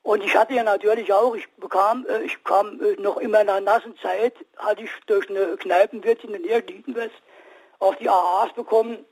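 A man in his sixties calling in to a radio show, telling his story haltingly with many restarts. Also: sits high, steady tone, husky, poor audio. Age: 60s. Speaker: caller. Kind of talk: call-in radio testimony.

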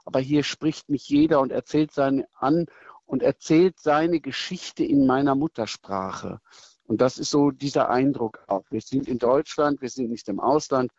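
A middle-aged man, a military chaplain, answering interview questions in a thoughtful, measured way with hesitations and restarts. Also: mid-range voice, darkish tone, dry recording, lo-fi sound.

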